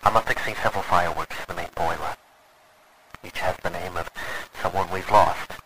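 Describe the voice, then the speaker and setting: An actor playing a desperate, devoted man recording a last message, speaking gravely and haltingly in radio drama style. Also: low-pitched, steady tone, pushed and bright, a little hiss or hum, poor audio. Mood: grim urgency. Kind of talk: golden age radio drama acting.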